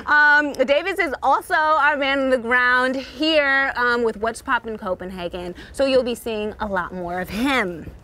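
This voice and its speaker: A woman's voice: high-pitched, a little slow, hardly any pauses, bright, forceful tone, a little hiss or hum. A woman in her thirties hosting an upbeat talk show outdoors on a rooftop with a handheld mic, bubbly and playful, laughing often. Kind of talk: upbeat hosted chat.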